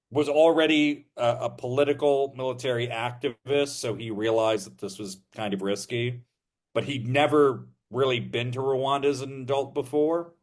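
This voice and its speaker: Man, podcast co-host, measured explainer, casual, filler-heavy. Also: mid-range voice. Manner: measured, thinking aloud with many fillers.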